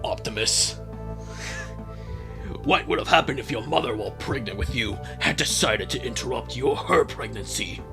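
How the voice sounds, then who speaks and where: A man's voice: medium pitch, animated, normal speed, gravelly, over music. A man voicing a stern, wounded robot in a parody fan dub, speaking in a grave, melodramatic way. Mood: solemn, reproachful.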